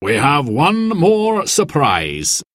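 A generous, kindly hostel owner, played by a man reading a story, inviting in tone. The voice is slightly raised and slow-paced, recorded dry. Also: fairly high pitch, wildly swooping pitch, a bit bright, dry recording, voice pushed up, exaggerated voice.

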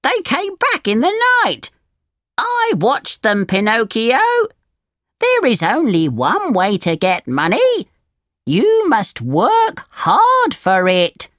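Storybook parrot: very high, slow, scolding and emphatic. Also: female voice, huge pitch swings, fairly bright tone, no echo, studio quality, full character voice. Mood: stern, advising. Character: laughing, scolding talking parrot in a tree. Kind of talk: children's storybook character voice.

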